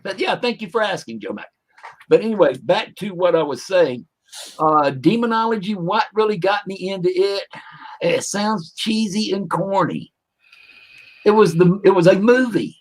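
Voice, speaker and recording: An older man with a fairly high voice for a man, chatting as a guest on a casual livestream. He rambles in a folksy, joking way, drifting into side stories before getting back to the question. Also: lively intonation, normal speed. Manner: rambling, folksy, jokey asides. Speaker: demonologist guest.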